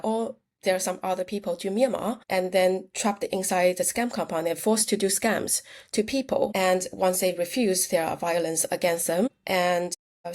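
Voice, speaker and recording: A woman guest with a Chinese accent and a fairly low voice for a woman. Her native language is Chinese, and she tells her account earnestly in plain phrasing. She sometimes restarts her sentences. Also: a little mellow. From China. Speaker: researcher and guest. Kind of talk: interview answers.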